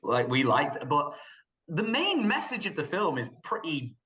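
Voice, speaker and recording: Bright-voiced man in an echoey room. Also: a little high, huge pitch swings, normal speed. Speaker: podcast panelist. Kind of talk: casual chat. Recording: roomy, echoey sound.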